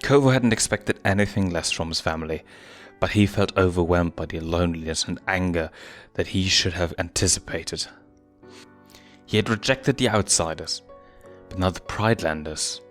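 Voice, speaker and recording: A man's voice: sits low, moderate pace, soft background music. A man reading a fan fiction story aloud as a scripted narrator.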